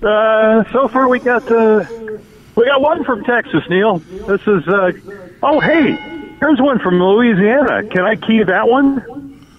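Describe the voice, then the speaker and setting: A man reporting live by phone while walking around a parking lot, giving unhurried, playful running commentary. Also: sits high. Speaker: roving field reporter. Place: hotel parking lot outdoors. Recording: dull lo-fi phone audio.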